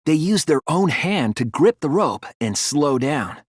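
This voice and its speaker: Earnest boy detective, animated and slightly husky. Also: a little high, unhurried, dry recording, clean studio sound, heavily acted. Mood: measured, explanatory. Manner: hesitant at times, then insistent and explanatory. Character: earnest, careful teenage boy detective reasoning through murder clues. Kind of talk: English video game dub, deductive dialogue.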